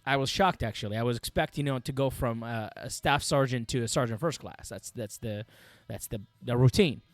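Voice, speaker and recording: A man in his thirties with an Iraqi Arabic accent speaks as an interview guest, at a middling pitch. He tells his story emphatically, repeating phrases for weight. The recording is dry, with very little room sound. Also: brisk, dry recording, soft background music. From Iraq, Baghdad. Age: 30s. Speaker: podcast guest, military veteran. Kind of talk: personal war storytelling in an interview.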